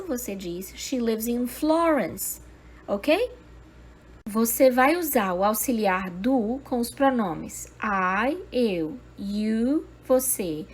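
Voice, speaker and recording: Slow, deliberate woman teaching English. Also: a little high, darkish tone. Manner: switches between English and Portuguese, slow deliberate model sentences. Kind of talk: slow scripted language lesson.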